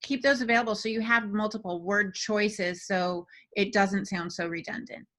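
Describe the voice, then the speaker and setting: A woman's voice: mid-range voice, fairly even intonation, normal speed, fairly bright tone. A woman speaking as a podcast panelist, giving advice in a casual discussion style.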